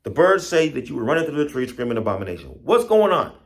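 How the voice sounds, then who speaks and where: A man voicing commanding, colloquial villagers who calm and question frightened animals in a folktale. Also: medium pitch, racing, creaky, pitched above the performer's own voice.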